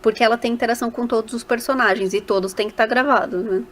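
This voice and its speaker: A woman's voice: a little high, steady tone, fast talker, fairly bright tone, slight background noise. A Brazilian woman speaking casually as a voice actor guest in a podcast interview, animatedly telling stories and acting out little quoted remarks.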